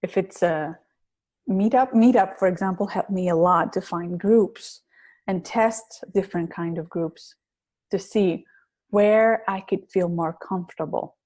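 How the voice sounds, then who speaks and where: A woman's voice: medium pitch, unhurried, a little mellow. A woman with a heavy Greek accent, a public speaking coach being interviewed on a podcast, talking in an earnest, reflective way with some hesitations. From Greece.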